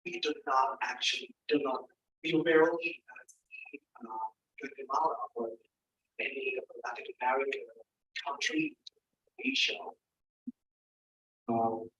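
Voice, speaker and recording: Man, student question, hesitant, bright tense voice, distant, echoey room. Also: medium pitch, slow, long pauses. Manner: hesitant, searching for words, many fillers. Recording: roomy, distant from the mic, bright.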